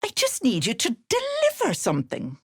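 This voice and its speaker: A woman's voice: high voice, wildly swooping pitch, normal speed, slightly rough, dry recording, clean studio sound, heavily acted. A woman voicing a sly, syrupy older auntie witch in a video game, cooing endearments with an edge of menace. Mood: sugary, scheming. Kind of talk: video game character voice lines.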